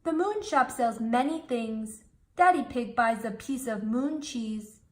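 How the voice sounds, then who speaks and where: Clear storybook-reading woman, fairly high voice. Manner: slow, clear and expressive. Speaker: storybook reader. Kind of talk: reading a children's storybook aloud.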